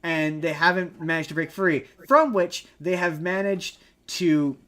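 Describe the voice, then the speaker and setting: Male podcast co-host, high voice, casual but emphatic explainer who rambles and argues his point. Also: unhurried, bright, forceful tone. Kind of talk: casual opinionated film discussion.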